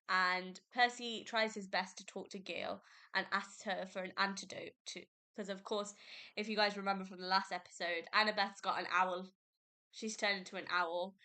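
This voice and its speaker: A woman's voice: mid-range voice, pushed and bright. A young woman, congested from a cold, chatting casually as host of her own book podcast. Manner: chatty, rambling, with fillers and self-corrections.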